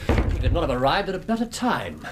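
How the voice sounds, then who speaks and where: Radio detective: British gentleman, swooping mid-range delivery, courteous, precise, sure of himself, faint noise behind. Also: fairly high pitch, wildly swooping pitch, fairly quick. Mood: eager, courteous. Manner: theatrical, courteous, precise, self-assured. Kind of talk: radio drama acting.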